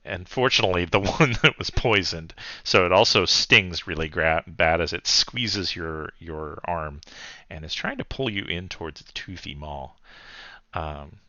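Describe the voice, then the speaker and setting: A man's voice: deep voice, animated, unhurried, sharp tone, no echo, lo-fi sound. A man improvising casual narration and rulings as the game master of a tabletop roleplaying game.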